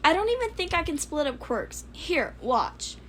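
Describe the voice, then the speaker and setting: Girl doing an earnest nerdy teen boy, high voice, chatty, bit skeptical, story reading. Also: very animated, moderate pace, fairly bright tone, a little hiss or hum. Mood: earnest, wary. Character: earnest, quirky, skeptical teenage boy gadget builder.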